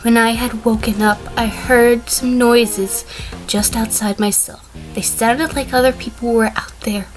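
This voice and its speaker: Woman acting high-voiced, prickly defendant on the stand. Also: fairly even intonation, a little slow, faint music behind, lightly acted, poor audio. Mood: uneasy testimony. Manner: hesitant stammers mixed with prickly defiance. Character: defiant, evasive female defendant on the witness stand. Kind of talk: audio drama courtroom acting.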